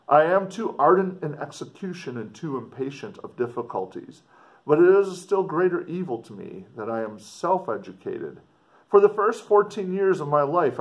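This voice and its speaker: A middle-aged man reading a book aloud as a teacher with commentary, measured, with deliberate pauses and emphasis. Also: medium pitch.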